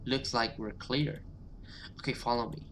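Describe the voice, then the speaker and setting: A man's voice: mid-range voice, fairly even intonation, a little slow, a bit bright, a little hiss or hum, natural voice. A man voicing an urgent, protective, defiant survivor in a horror visual novel. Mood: cautious.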